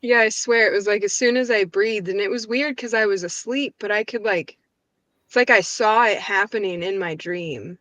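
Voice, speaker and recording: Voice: mid-range voice, normal speed, a bit bright, dry recording. A speaker telling personal stories casually, in a slangy, rambling way.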